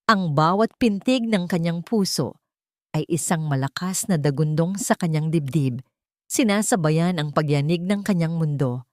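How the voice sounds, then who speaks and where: A woman's voice: fairly low pitch, darkish tone, no echo, clean studio sound. A woman with a Filipino accent giving a dramatic scripted narration of an audio story.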